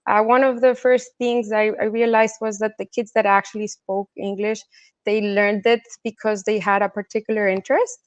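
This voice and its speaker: A woman's voice: mid-range voice, level tone, moderate pace, no room sound, muffled recording. Woman, dry close sound, interview guest. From Colombia, also raised in the States and China. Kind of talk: casual conversational interview answers.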